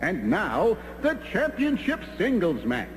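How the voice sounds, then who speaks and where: A man voicing an excitable cartoon tennis commentator, calling the play in a theatrical, exclamatory manner. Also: high-pitched, slow pace, full character voice, poor audio. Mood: announcing. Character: excitable male cartoon tennis match announcer. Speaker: sports commentator. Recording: music under the voice. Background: loud background music.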